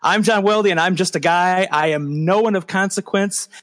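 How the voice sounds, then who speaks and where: A man's voice: high voice, normal speed, a bit bright. A man hosting a casual podcast, talking in a rambling, self-deprecating way with lots of fillers and restarts. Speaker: podcast host.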